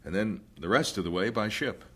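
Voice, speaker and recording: Lively, practical missionary husband. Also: male voice, fairly low pitch, a little fast, slightly rough. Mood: reassuring. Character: steady, practical missionary husband, gently teasing. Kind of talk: radio drama acting.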